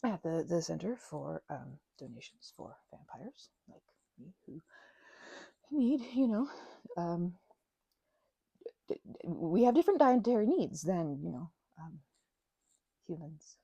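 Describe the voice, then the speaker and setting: A woman playing a timid, apologetic and grateful vampire in an audio roleplay, stammering and halting nervously in a soft, breathy voice. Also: on the low side, very animated, no room sound, heavily acted. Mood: shy, earnest. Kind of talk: audio roleplay addressed to the listener.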